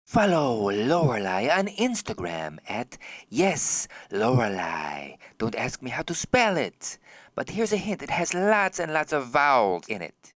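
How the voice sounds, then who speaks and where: A man doing campy, playful podcast announcements in a theatrical, drawn-out way. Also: medium pitch, wildly swooping pitch, noisy background.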